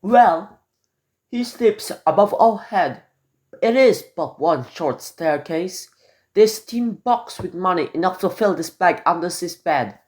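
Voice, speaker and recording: A scheming, unhappy noblewoman, acted by a man, pitched up high. She speaks in a coaxing, defensive way, in the style of an amateur radio play. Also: animated, slow. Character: scheming, unhappy wife of a lord. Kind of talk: amateur radio drama acting.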